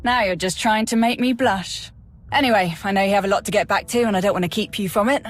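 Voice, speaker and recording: An actress playing a loyal, frank Imperial major in a video game, speaking earnestly with military formality. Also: female voice, medium pitch, quick pace, natural voice. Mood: admiring, grateful. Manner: earnest, crisp military address. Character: dutiful, sincere female Imperial army major, loyal and frank. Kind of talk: dramatic video game acting.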